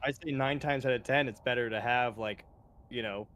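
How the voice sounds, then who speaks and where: A man's voice: medium pitch, moderate pace, fairly bright tone. A man chatting with a friend on a game stream, rambling with lots of fillers and laughing often. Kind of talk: casual gaming chat.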